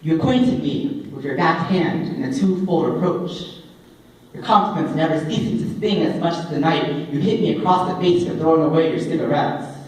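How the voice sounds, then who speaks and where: A young man performing spoken word poetry live, with a dramatic, deliberate delivery and drawn-out phrases. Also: on the high side, darkish tone. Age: young adult. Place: indoor open mic coffee house event. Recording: echoey live room, distant microphone.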